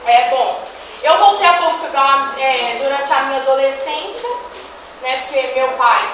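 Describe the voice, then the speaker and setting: A woman's voice: high-pitched, expressive, a little slow, a bit bright. A young woman student playing a vain, joking dead poet from the eighteenth century in a school presentation, talking in a theatrical, chiding way to the class. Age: young adult. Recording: echoey room from far off, dull, noisy.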